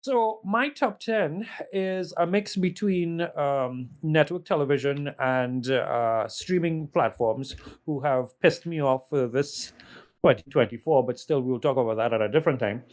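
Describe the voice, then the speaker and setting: A man's voice: a little high, unhurried. A man on a podcast panel talking casually through a review list, explaining as he goes with opinionated asides.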